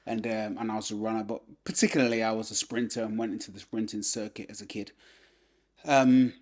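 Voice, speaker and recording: A man in his fifties with a fairly low voice, speaking as a guest on a casual podcast. He tells his story in an easy, unhurried way and leans on "you know" between thoughts. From England. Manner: relaxed storyteller, many "you know" fillers. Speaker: podcast guest, former boxer. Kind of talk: casual life-story interview.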